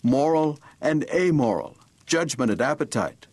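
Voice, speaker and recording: An actor playing an earnest, idealistic, guilt-ridden Victorian gentleman doctor, speaking formally and eloquently in an audio drama. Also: a little high, lively intonation, unhurried. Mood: earnest, brooding. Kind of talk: audio drama, journal narration and dialogue.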